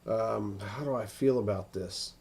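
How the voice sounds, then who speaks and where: An American man hosting a casual, unscripted weather livestream, thinking aloud in a halting way as he answers viewers. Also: lowish voice, vocal fry, darkish tone. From northern United States.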